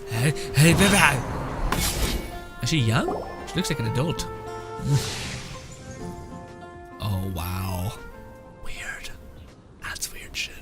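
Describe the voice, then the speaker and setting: Casual young male game streamer, lively intonation. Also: medium pitch, extremely slow, pauses often, whispered. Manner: sweary, informal, rambling. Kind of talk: casual live reaction commentary. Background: game music.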